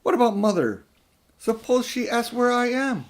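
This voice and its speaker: A man playing an indignant, plucky schoolboy, pitched up. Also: big pitch swings, moderate pace. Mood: defiant, worried. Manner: blunt, fierce, defiant. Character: indignant, brave, honourable young schoolboy. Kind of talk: children's audiobook character reading.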